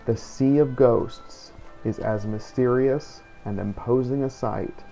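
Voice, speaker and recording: A man with a mellow, fairly deep voice narrates a fantasy game in character, as if from a written journal. His delivery is solemn and literary, with a creaky edge to the tone. Soft music plays behind him. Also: slow. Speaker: video game playthrough narrator. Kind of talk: scripted in-character role-play narration.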